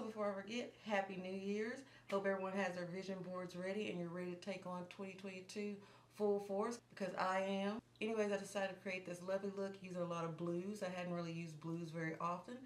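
A woman in her thirties hosting a step-by-step makeup tutorial in a small indoor room. She talks in a calm, instructive way and sounds a little hoarse, as if losing her voice. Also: mid-range voice, fast, hardly any pauses. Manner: calm, instructive, softens to near murmur while working. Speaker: beauty vlogger. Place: small indoor room against a plain wall. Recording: echoey room with background hiss.

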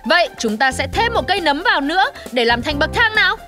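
Very high-pitched eager little panda boy. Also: sing-song, quick pace, over music. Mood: earnest, eager. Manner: exclamatory, earnest. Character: cute young boy panda, eager, earnest little hero. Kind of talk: children's cartoon dubbing.

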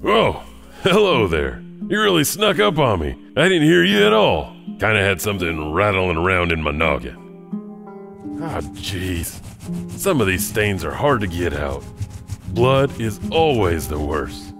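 An actor playing a chatty, eerie janitor who hosts a horror anthology in a rambling, folksy, darkly playful way. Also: male voice, low voice, wildly swooping pitch, a little slow, creaky, a bit bright, faint music behind, exaggerated voice. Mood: creepily jovial. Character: chatty, eerie, friendly male janitor storyteller. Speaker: host. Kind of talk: theatrical horror anthology narration.

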